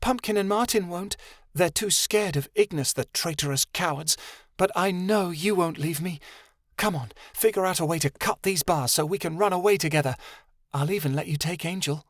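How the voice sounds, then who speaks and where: A man's voice: high voice, moderate pace, dry recording, clean studio sound, voice pushed up. A man voicing a desperate, scheming young witch girl who pleads and hisses. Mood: wheedling. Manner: pleading, clingy, turning to hissing rage.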